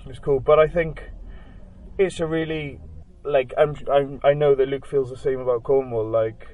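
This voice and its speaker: A man's voice: medium pitch, normal speed, narrow, tinny audio. Young Welsh guy, band member, casual and rambling interviewee, mid-range voice. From Wales. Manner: rambling, many "like" fillers, animated storytelling.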